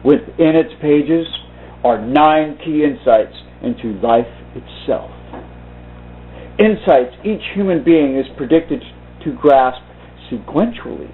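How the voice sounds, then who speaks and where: A man reading aloud and commenting informally in a halting, deliberate way. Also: fairly high pitch, expressive, slight background noise. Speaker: video host reading from a book. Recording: muffled phone-like narrow-band sound.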